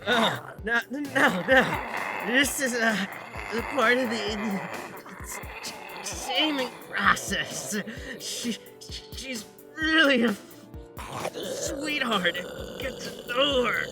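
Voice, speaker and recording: A woman voicing a timid, flustered, overworked male blacksmith in an animated comedy, hesitant and stammering. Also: a bass voice, extremely slow, pauses often, over music, full character voice. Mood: strained.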